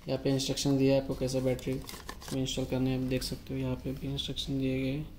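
A man's voice: mid-range voice, completely flat delivery, quick pace, mellow. A man, giving a casual product demonstration. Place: echoey showroom with product displays. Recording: roomy echo with faint background noise.

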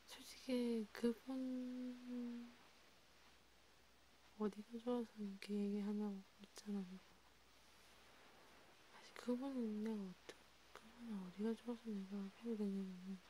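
A woman speaking in a hushed, rambling ASMR style, half whispering, as if chatting one-to-one with a listener. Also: mid-range voice, fairly even intonation, measured pace, pauses often, slight background noise, poor audio. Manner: hushed, rambling, intimate one-sided conversation. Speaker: ASMR creator. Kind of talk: soft ASMR roleplay chat, partly whispered.

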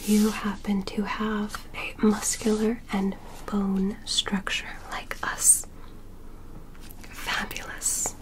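A woman voicing a gentle, curious alien medical examiner in an ASMR roleplay, in a soft, breathy whisper with deliberate short instructions. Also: mid-range voice, monotone, lightly acted, low-quality recording. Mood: curious, pleased. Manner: slow, deliberate, short instructions with simple praise. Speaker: ASMR performer playing an examiner.

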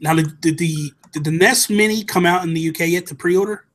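A man's voice: a little high, a little fast, a bit bright. An American man in his thirties co-hosting a casual gaming chat, speaking emphatically and repeating phrases for stress. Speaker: podcast co-host. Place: small home room, indoors. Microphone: desk microphone close up, headset.

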